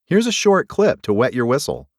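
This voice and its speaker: A man's voice: medium pitch, huge pitch swings, moderate pace. A man reading a scripted podcast promo as an announcer.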